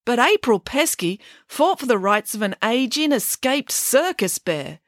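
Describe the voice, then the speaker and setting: A woman's voice: on the high side, big pitch swings, measured pace, no echo, clean studio sound, voice pushed up. A woman voicing an earnest, assertive female animal-welfare campaigner in a children's audiobook, speaking in firm declarations. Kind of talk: children's audiobook character acting.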